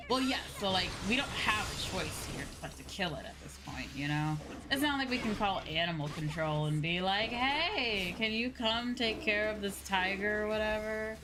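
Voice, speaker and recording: A woman giving casual, rambling, soft-hearted live commentary as she streams a video game, speaking into a close mic. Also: medium pitch, expressive, brisk, few pauses, background noise.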